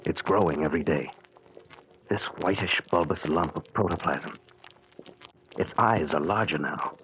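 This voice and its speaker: A man's voice: low voice, a little slow. A man playing a brooding obsessed scientist. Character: secretive middle-aged male banker scientist, obsessive. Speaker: father experimenting in basement. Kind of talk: 1940s radio drama acting. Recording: muffled old radio recording with faint hiss.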